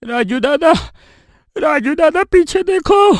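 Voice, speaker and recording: A performer voicing a whiny young male sidekick in a horror audio story, with a shrill, exclaiming delivery. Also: animated, slow, no echo, studio quality. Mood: alarmed.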